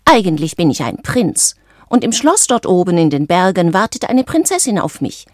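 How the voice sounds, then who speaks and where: A woman voicing a boastful, stubborn young male frog in a high, squawky, self-important voice for a storybook. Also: big pitch swings, moderate pace, dry recording, clean studio sound. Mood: boastful, insistent.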